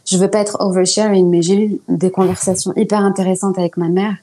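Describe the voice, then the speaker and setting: A woman in a casual panel discussion, carefully explaining her opinion in a measured way. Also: mid-range voice, level tone, warm tone. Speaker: panel guest. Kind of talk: casual debate.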